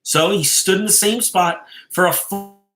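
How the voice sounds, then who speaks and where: A man reading a story aloud as a dramatic storyteller, deliberate and suspenseful, stressing key phrases and dropping to hushed endings. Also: sits high, faint music behind. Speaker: storyteller, host of a live reading show.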